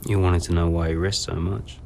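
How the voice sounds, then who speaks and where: A man's voice: deep voice, flat delivery, fairly quick, vocal fry, darkish tone. An actor playing a burdened, dry male racing team boss in an understated, natural game cutscene style. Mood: subdued, troubled.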